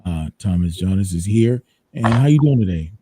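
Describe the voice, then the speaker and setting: A man's voice: sits low, lively intonation, normal speed, dark tone, a little hiss or hum. A man hosting an interview in a laid-back, chatty way, often repeating words as he gathers his thoughts. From United States. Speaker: podcast host. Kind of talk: casual interview chat.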